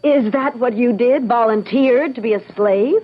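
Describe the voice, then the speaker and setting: A woman's voice: a little high, measured pace. An actress playing a skeptical, wounded young American girlfriend in an old-time radio drama, dry and incredulous. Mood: pleading. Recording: muffled radio drama recording.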